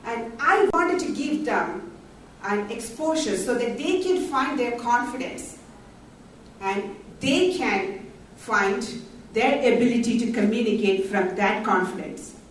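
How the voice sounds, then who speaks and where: A woman's voice: fairly high pitch, a little hiss or hum. A middle-aged woman from India, telling her story in earnest at a conference panel. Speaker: panel speaker. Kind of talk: conference talk. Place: large conference hall. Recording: very echoey hall from far off.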